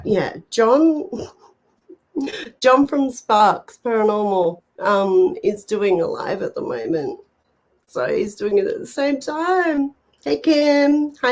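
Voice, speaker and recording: Stuffy-nosed woman hosting a slow, chatty live show. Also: fairly high pitch, a little mellow. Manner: chatty, rambling, many fillers and laughs. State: stuffed up from a cold. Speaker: host. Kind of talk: casual live-stream chat.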